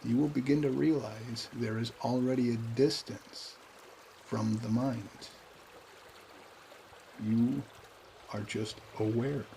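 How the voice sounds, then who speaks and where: A man guides a meditation with a calm, even voice that barely rises or falls. He speaks very slowly in short, spaced phrases, with a gravelly creak. Soft water trickles faintly behind him. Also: mid-range voice, slight background noise. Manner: calm, unhurried, pausing between short phrases.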